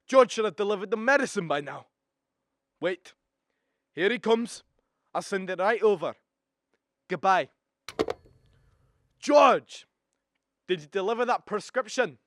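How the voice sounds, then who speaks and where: Irate, boozy shopkeeper for radio drama, played by a young man: high-pitched male voice, slow, barking, dry sound. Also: a bit bright, no room sound, exaggerated voice. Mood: gruff, impatient. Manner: barking, accusing. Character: angry, drunk, grieving middle-aged drugstore owner. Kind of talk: stage-like radio drama acting.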